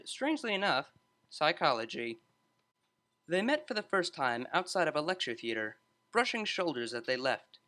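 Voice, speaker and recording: A man's voice: medium pitch, sharp tone. A man reading a scripted story aloud as an audiobook narrator.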